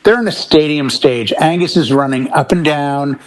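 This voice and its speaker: A man's voice: on the high side, normal speed. Middle-aged man, casual storyteller. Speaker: talk show co-host. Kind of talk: casual panel chat about music.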